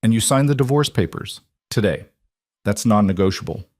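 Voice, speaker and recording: A man's voice: lowish voice, expressive, normal speed, a little mellow, no room sound, clean studio sound, close to an everyday voice. A man voicing a proud, weary pregnant woman, an estranged wife with a firm, guarded manner, in an audiobook. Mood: firm, wary.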